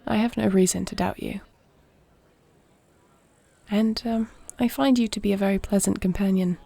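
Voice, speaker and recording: A woman playing a gentle, dignified warrior princess in an intimate fantasy roleplay, speaking softly with formal, measured, tender diction. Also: mid-range voice, steady tone, vocal fry, dry recording. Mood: warm, sincere.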